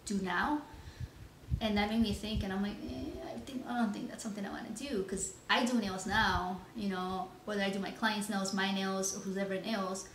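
A woman's voice: mid-range voice, fairly even intonation, normal speed, roomy sound, a little hiss or hum, rough recording. A woman in her thirties, originally from Chicago, answering viewer questions in a casual, rambling vlog, full of ums and likes. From Chicago, United States. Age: 30s. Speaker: nail artist vlogger. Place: home room with shelves, indoors.

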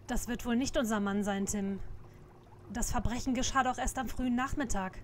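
A woman voicing a bossy teenage girl detective who is quick to scold, in an audio drama. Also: fairly high pitch, slight background noise, lightly acted. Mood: brisk.

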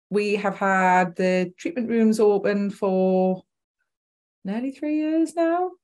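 A woman's voice: on the low side, a little mellow. Geordie woman presenting a webinar. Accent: Geordie, Newcastle. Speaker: dentist presenting a product webinar.

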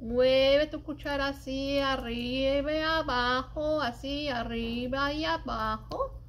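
A middle-aged woman reading a children's picture book aloud as a library storyteller, with expressive, deliberate delivery and drawn-out emphasized words. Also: sits high. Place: indoors in a library children's room. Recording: light background room noise.

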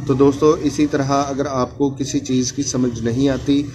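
A man's voice: medium pitch, level tone, normal speed. A man talking viewers through a phone repair step by step, repeating each instruction as his hands work. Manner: repetitive instructional phrasing, addressing viewers as friends. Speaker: phone repair tutorial host. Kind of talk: step-by-step hands-on tutorial narration. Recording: noisy home recording.

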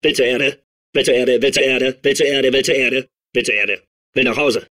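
A man playing a manic, childlike robot sphere obsessed with outer space in a video game, babbling and repeating himself in a strained, husky voice. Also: medium pitch, normal speed, close to an everyday voice. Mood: homesick, pleading. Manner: excitable, babbling, repeating words compulsively. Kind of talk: video game character voice clips.

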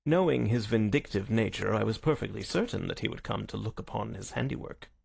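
Storybook detective, played by a male reader: self-assured, wry, theatrical, precise diction, husky and creaky. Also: on the low side, lively intonation, brisk, a little mellow, full character voice. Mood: self-satisfied, explaining. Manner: precise, lofty, courteous. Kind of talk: dramatised audiobook reading.

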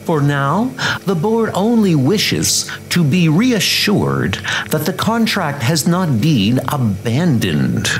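A man's voice: a little high, sing-song, slow pace, soft background music, pitched above the performer's own voice, full character voice, poor audio. A man voicing a cold, formal female leader of a warrior sisterhood in a comic dub, speaking commandingly. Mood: cool, formal.

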